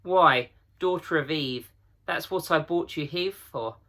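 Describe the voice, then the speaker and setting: A man voicing a gruff, proud old talking beaver in a children's storybook, folksy and emphatic. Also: fairly high pitch, a little slow, pushed and bright, voice pushed up.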